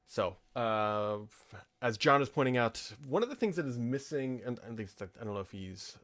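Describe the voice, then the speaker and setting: A man's voice: medium pitch, lively intonation, normal speed, fairly bright tone. An American man in his thirties hosting a tech podcast, talking off the cuff in an informal, opinionated, rambling way. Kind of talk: casual off-the-cuff commentary answering listener questions.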